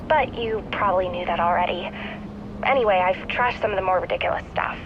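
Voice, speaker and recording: Casting: actress as spunky, chatty teen girl sidekick for a game, mid-pitched, upbeat and sincere. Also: brisk, muffled recording, background noise, close to an everyday voice. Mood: confiding, earnest. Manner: casual, upbeat, confiding. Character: plucky, earnest, chatty teenage girl, eager young sidekick. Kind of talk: naturalistic video game voice acting.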